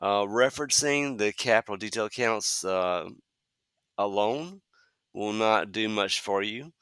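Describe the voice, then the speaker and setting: A man in his fifties hosting a solo podcast, talking conversationally with frequent "uh" fillers and a slightly hoarse voice from a cold. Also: fairly low pitch, lively intonation, measured pace, a bit bright, no echo. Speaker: podcast host.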